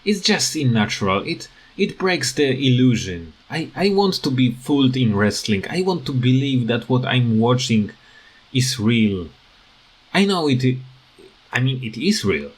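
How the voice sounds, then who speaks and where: Slow, halting man in a casual rant. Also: medium pitch, big pitch swings, slightly rough. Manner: halting, hesitant, repeats words. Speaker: video creator giving commentary.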